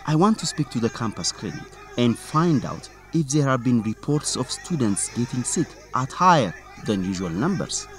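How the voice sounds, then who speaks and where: A man's voice: medium pitch, very animated, moderate pace, few pauses, lightly acted. A man playing a driven, inquisitive reporter in a radio drama. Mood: serious. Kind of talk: English-teaching radio drama dialogue.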